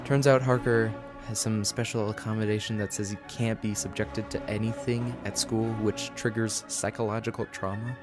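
A man playing an easygoing, resigned high school guy in a radio play. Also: level tone, moderate pace, hardly any pauses, music in the background, close to an everyday voice. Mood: awkward.

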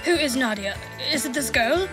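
High, baffled English schoolboy. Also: female voice, expressive, normal speed, over music. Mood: confused. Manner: bewildered, plaintive. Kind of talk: cartoon dialogue.

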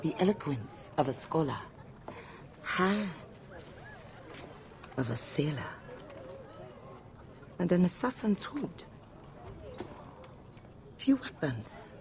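Sly, imperious female power broker, unhurried, very expressive intonation, sardonic game drama. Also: fairly low pitch, a little hiss or hum, exaggerated voice. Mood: appraising. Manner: slow, poised, sardonic. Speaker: video game character actor. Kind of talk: dramatic cinematic game acting.

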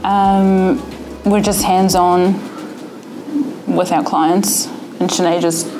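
A woman with a New Zealand accent, talking in a relaxed, plain way about a trainee in an interview. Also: fairly low pitch, slow, noisy background. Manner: relaxed, plain-spoken, with filler "uh" and "um". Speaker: fitness trainer.

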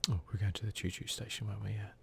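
A man giving whispered, relaxed ASMR commentary over gameplay, musing idly close to the microphone. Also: on the low side, rapid-fire, gravelly, slight background noise. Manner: relaxed, idle musing to himself and viewers. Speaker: gaming channel host.

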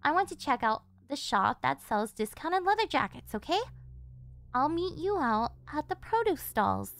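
Woman playing a yandere girlfriend, very high voice, clingy, doting, possessive, menacing edge, ASMR roleplay, music behind. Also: very animated, normal speed, a bit bright, exaggerated voice. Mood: excited, coaxing. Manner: doting, pleading, swinging into threats. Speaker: voice actress.

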